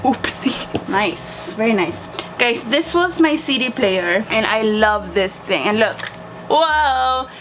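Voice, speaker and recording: A woman's voice: a little high, lively intonation, slow, background noise. A young woman from Sweden chatting casually to camera in a playful, exclamatory, slangy way. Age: young adult. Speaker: vlogger showing childhood belongings.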